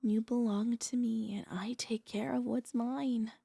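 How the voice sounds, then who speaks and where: Woman playing a slow, breathy, hypnotic vampire mistress. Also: fairly high pitch, steady tone, warm tone, dry recording, clean studio sound, heavily acted. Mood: possessive, coaxing. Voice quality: breathy, often whispering. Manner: slow, coaxing, commanding hypnotic delivery. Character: possessive, soothing female vampire mistress hypnotizing her pet. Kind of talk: ASMR roleplay.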